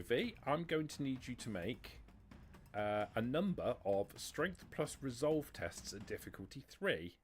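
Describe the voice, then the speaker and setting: A man's voice: mid-range voice, slight background noise. A man running a tabletop roleplaying game as game master, patiently explaining rules and narrating scenes.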